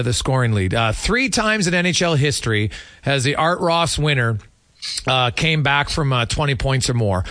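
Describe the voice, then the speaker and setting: A man hosting a sports talk show, giving unscripted analysis and statistics in a somewhat halting way. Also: medium pitch, a bit bright, no echo, clean studio sound. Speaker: sports radio host.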